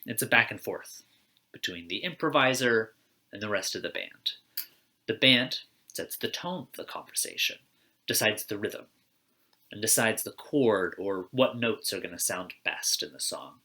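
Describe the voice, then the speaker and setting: A man's voice: lowish voice, animated, moderate pace, sharp tone. A man giving a conversational church reflection, plain-spoken and putting questions straight to his listeners. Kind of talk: conversational sermon on a personal theme.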